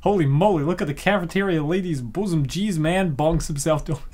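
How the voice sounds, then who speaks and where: Rambling, excitable young comic reactor, medium pitch. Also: brisk. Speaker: comic dub host and reactor. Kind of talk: casual reaction commentary.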